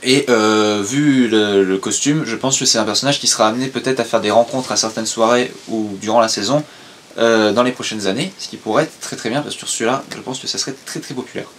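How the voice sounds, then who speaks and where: A man's voice: fairly low pitch, brisk, fairly bright tone, slight background noise. A young man co-hosting a casual chat, steadily explaining news and announcements. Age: young adult. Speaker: co-host, son. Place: room with shelves of toys and merchandise.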